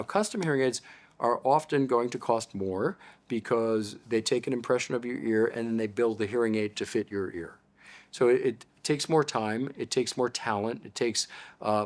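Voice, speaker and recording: Older American man explaining patiently. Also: fairly low pitch. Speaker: audiologist guest. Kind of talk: explanatory interview talk.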